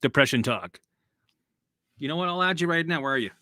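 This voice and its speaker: A man's voice: fairly high pitch, animated, brisk, bright, forceful tone, dry recording, clean studio sound. A man hosting a casual live stream as a recovery coach. He rambles and repeats himself, swears casually and laughs at himself as he answers viewers.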